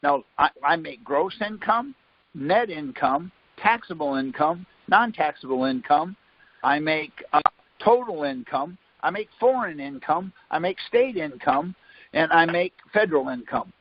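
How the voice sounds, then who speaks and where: Male host, husky, slightly high, rambling and self-correcting, on a dull muffled call line. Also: lively intonation, unhurried. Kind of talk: casual explanatory talk. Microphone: phone line. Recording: muffled phone-call audio.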